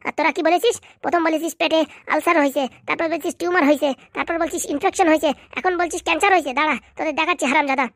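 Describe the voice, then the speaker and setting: High cartoon voice acted by a woman, indignant young accuser, sharp-tongued, breakneck pace. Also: steady tone, racing, hardly any pauses, no room sound. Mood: angry, accusing. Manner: scolding, accusatory, mouthy. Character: indignant, sharp-tongued young relative exposing hospital fraud. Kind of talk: fast cartoon comedy dialogue.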